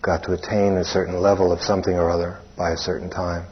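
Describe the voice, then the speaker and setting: A man with a deep, husky voice guides a meditation. He speaks in short, plain phrases and leaves long pauses between them. The recording is dull and muffled. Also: little pitch movement. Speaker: meditation teacher. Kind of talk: guided meditation instruction, spoken live.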